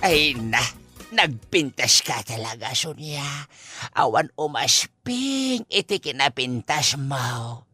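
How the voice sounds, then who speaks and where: A man playing a sly, amorous old husband in an Ilocano comedy drama, with an Ilocano accent and a husky voice. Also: mid-range voice, animated, slow, pushed and bright, faint music behind, exaggerated voice. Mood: smitten.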